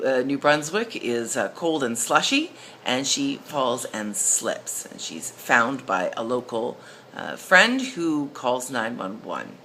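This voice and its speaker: Female instructor, very low register, animated intonation; informal explainer narration. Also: slight background noise. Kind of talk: recorded lesson, case study narration.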